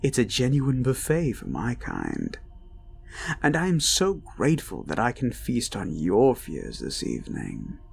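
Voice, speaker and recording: A man playing an ancient, cruel incubus demon in a dark roleplay audio, mocking and gloating, with slow menace and breathy whispers. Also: medium pitch, wildly swooping pitch, full character voice. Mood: sinister taunting. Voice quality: creaky, breaking into breathy whispers. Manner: slow, menacing, taunting, drawn-out. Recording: ominous music behind the voice.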